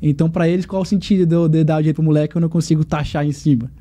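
A young man from Brazil with a Piauí accent, a guest chatting casually on a podcast, telling stories in a relaxed way with quick asides. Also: on the high side. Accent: Piauí Portuguese. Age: young adult. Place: podcast studio.

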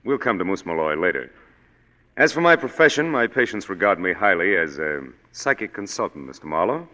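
A suave pompous psychic. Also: male voice, low-pitched, big pitch swings, a little fast, pushed and bright, exaggerated voice. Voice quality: smooth, pompous. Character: suave scheming male psychic, smooth and condescending. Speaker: psychic consultant. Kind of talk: 1940s radio acting. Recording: dull old radio broadcast with hall reverb.

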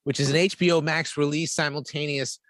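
A man's voice: fairly high pitch, steady tone, brisk, a bit bright, dry recording, clean studio sound. Emphatic man hosting a podcast. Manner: emphatic, repeats himself, profane. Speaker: podcast host. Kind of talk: casual movie chat.

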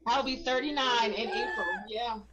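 A woman's voice: sits high, wildly swooping pitch, moderate pace, a loud crowd. A woman in her thirties in a casual group chat, telling a story expressively. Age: 30s.

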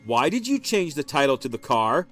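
A man voicing a suspicious, volatile husband who talks in a forceful, accusing way. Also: a little high, lively intonation, a little fast, soft background music.

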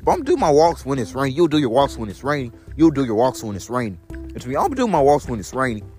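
A young man with a deep voice vlogging to his phone camera while walking outdoors in the rain. He repeats his phrases over and over and keeps calling his viewers "guys". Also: sing-song, fast, darkish tone, soft background music. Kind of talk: rambling phone vlog on a walk.

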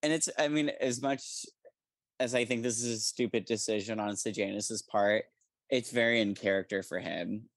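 A podcast co-host of medium pitch talks casually and emphatically, in the manner of someone debating a book with friends. The sound is dry, with very little room to it. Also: fairly even intonation, moderate pace, dry recording.

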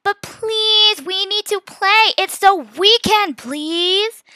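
A woman voicing an excitable, playful little sister who exclaims dramatically in a story. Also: very high-pitched, slow, dry recording, studio quality, voice pushed up, exaggerated voice. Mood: pleading.

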